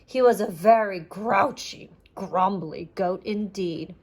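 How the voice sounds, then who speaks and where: A woman reading a children's storybook aloud in an animated narrating style. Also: medium pitch, takes it slowly, slightly rough. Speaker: children's audiobook reader.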